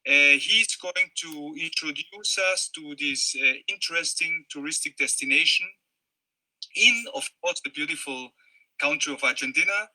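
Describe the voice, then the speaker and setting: A man's voice: a little high, normal speed, bright, forceful tone, poor audio. A man hosting an online show who welcomes his guest formally, with some fillers, over a video call. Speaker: host.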